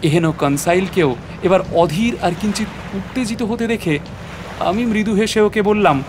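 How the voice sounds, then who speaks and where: An actor playing an adventurer who narrates a suspenseful sea voyage in a dramatic, literary storytelling style. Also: male voice, high-pitched, moderate pace, noisy background, lightly acted.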